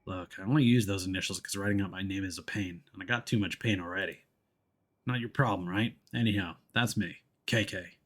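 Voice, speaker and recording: A man voicing a gruff, world-weary detective who talks in a terse, dry, guarded way for a video game story. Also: low voice, a little fast, a bit bright.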